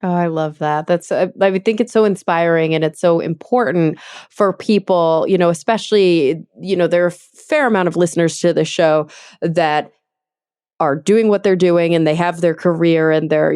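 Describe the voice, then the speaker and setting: A woman's voice: fairly low pitch, darkish tone, clean tone, no room sound. Enthusiastic woman podcast host, dry sound. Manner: enthusiastic and chatty, rambling with many fillers. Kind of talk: podcast interview.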